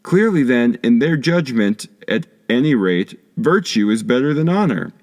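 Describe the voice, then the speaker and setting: A man reading a philosophy text aloud as an audiobook narrator, in formal, deliberate lecturing phrasing. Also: mid-range voice, sing-song, darkish tone. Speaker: audiobook reader.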